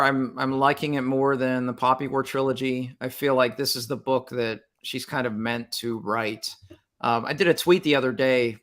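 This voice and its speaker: A man's voice: medium pitch, a bit bright. A man, a music teacher guesting on a book chat, talking in a reflective way with small restarts.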